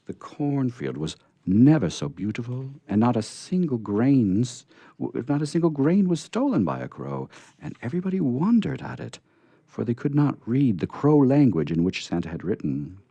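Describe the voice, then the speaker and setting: A man's voice: mid-range voice, big pitch swings, moderate pace, vocal fry, warm tone, husky, dry recording, dull audio. A man hosting a radio theatre, reading stories aloud live in a chatty way. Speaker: radio theatre host and reader. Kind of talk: live dramatic story reading. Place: live radio reading before a small group.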